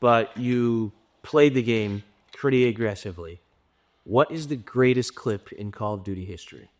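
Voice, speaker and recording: A man's voice: medium pitch, normal speed. A man co-hosting a casual interview, putting informal questions to a guest. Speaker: interviewer, co-host. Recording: clearly noisy background with clicks.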